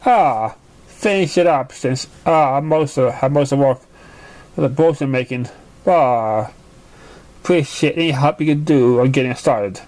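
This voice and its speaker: A man voicing an earnest, exasperated young country filly from a cartoon story, in a folksy, fretful, halting way. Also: medium pitch, darkish tone, natural voice. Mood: hesitant.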